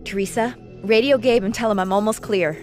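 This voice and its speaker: A woman's voice: mid-range voice, steady tone, brisk, close to an everyday voice. A woman playing a determined ex-agency operative in a video game, speaking in a terse, no-nonsense way. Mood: focused, businesslike. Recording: music behind the voice.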